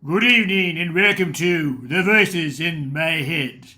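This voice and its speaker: A man's voice: fairly high pitch, a little slow, over loud music, lightly acted. A man playing the formal, theatrical host and narrator of an old-time style radio play. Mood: theatrical, inviting.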